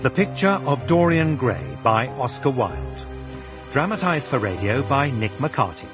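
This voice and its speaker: A man's voice: mid-range voice, sing-song, a little slow, music in the background. A man announcing a radio drama's title and credits in a scripted style.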